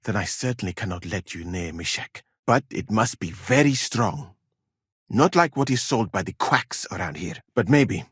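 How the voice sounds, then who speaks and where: Game role: proud, wry scholar-physician, formal courteous phrasing, husky and expressive, recorded dry. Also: male voice, on the low side, dry recording. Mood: grave, apologetic. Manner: formal, measured, uncontracted speech with wry politeness. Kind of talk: video game character dialogue.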